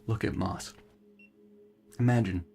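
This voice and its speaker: A brainy sad scientist in a sci-fi radio play, gravelly, halting, formal wording. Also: male voice, on the low side, steady tone, warm tone, faint music behind. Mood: earnest, confessional. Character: male scientist, earnest, melancholy, cerebral, given to theorizing. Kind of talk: science fiction audio drama acting.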